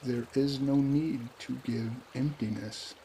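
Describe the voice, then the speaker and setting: A man leading a guided meditation in a calm, unhurried way, with pauses between short phrases. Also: medium pitch, slight background noise, poor audio.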